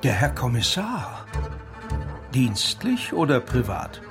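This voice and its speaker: An actor playing an educated, courteous doctor under suspicion in a radio crime drama, precise and dryly ironic. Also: male voice, medium pitch, huge pitch swings, slow pace, over loud music. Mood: cool, evasive. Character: educated, courteous, wry male doctor, an unemployed suspect.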